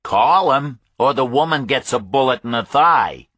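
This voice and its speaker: A man's voice: medium pitch, expressive, normal speed, a bit bright, dry recording, clean studio sound, voice pushed up. A man voicing a menacing, smug armed villain in a thriller audiobook, commanding and taunting.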